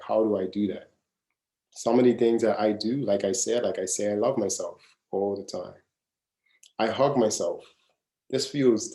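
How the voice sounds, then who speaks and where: A man in his mid-thirties leads a live wellness class in a casual, earnest way, often saying a phrase twice for weight. His voice sits fairly low with a dark, mellow tone. The room around him rings with echo. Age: 30s. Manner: earnest, casual, repeats phrases to stress them. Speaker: wellness coach. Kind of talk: live masterclass talk. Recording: echoey room.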